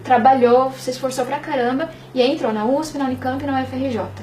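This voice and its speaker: Quick, high-voiced young woman. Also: recorded from a distance, a little hiss or hum. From Bahia, Brazil. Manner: fast, animated, peppered with "sabe?". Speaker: interview guest. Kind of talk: casual interview talk.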